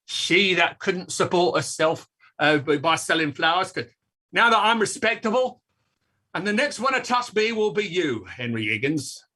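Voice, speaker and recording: High raspy voice, man acting as old dustman, blustering, aggrieved, rambling theatrical complaints. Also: sing-song, normal speed, pushed and bright, exaggerated voice. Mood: indignant. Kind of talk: theatrical staged reading.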